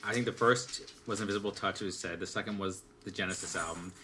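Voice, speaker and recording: A man in his forties on a podcast panel, telling stories in a halting, self-mocking way. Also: low voice, steady tone, bright, forceful tone, slight background noise. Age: 40s. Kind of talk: casual chat.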